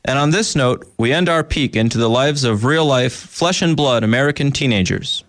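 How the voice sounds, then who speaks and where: A man hosting a youth radio program with scripted narration and a plain, even delivery. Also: medium pitch, normal speed. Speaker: radio host.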